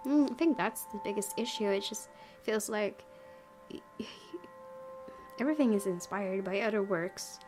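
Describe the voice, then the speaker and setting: A woman chats through a game in a casual, rambling let's play style. Her voice sits at a medium pitch and is giggly and informal, with faint music behind it. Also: moderate pace, long pauses. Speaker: gaming video host. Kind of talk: casual let's play commentary and read-aloud. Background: soft game music.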